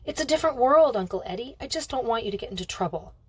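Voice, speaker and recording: Storybook role: caring young niece, read by a woman, anxious and imploring, slightly husky. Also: a little high, lively intonation, fast talker, no room sound, pitched above the performer's own voice. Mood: worried, pleading. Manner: earnest, pleading. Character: worried, caring teenage girl, thief's great-niece.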